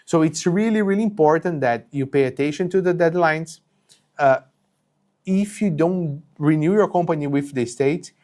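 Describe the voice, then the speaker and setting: A man's voice: mid-range voice, darkish tone. Brief: man in his thirties, first language Portuguese, live Q&A host, chatty, lots of "okay?" and restarts, lapel mic in a studio. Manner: conversational, many fillers and restarts, checks in with "okay?". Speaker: company co-founder answering live questions. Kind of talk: live Q&A explaining business rules. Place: home studio with shelves and green lighting.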